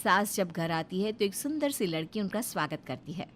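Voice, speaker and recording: A woman's voice: medium pitch, lively intonation, normal speed, a little hiss or hum. A woman narrating a scripted Hindi family moral story as a storyteller.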